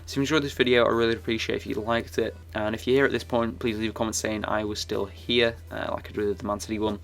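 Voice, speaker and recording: A young man giving casual, rambling commentary over his football game footage, his voice croaky and full of fillers and restarts. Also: lowish voice, little pitch movement, fast, a bit bright. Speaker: gaming YouTuber.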